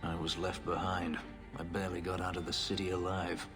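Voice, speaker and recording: A man's voice: deep voice, flat delivery, a little fast, big-room sound, music in the background, natural voice. An actor playing a brooding, wry male escaped slave who tells his story dryly in a fantasy video game. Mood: reflective, bitter. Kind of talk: cinematic game dialogue, storytelling.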